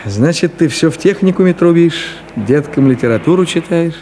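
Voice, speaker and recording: An actor playing a talkative, bossy, bantering middle-aged city driver in a naturalistic Soviet radio play of the 1970s. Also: male voice, a little high, expressive, moderate pace, warm tone, lightly acted. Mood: chatty, wry. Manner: bantering, chatty, bossy, colloquial. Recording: radio play with clear background noise. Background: car and street traffic ambience.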